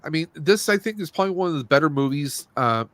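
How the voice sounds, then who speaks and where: A man's voice: on the high side, lively intonation, fast talker, a bit bright, dry recording. A man hosting a casual movie review podcast, speaking in a blunt, opinionated and rambling way. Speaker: podcast host.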